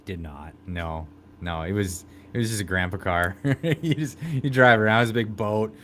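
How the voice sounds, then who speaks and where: Laid-back man in his forties, low voice. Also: moderate pace, fairly bright tone, slight background noise. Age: 40s. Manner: laid-back, laughs often. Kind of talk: casual chat.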